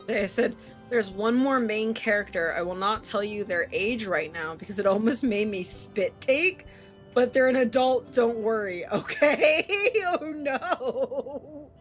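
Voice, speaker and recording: A woman streamer reading a visual novel aloud and commenting casually, animated, expressive and free with swearing. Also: fairly high pitch, slow. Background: game music.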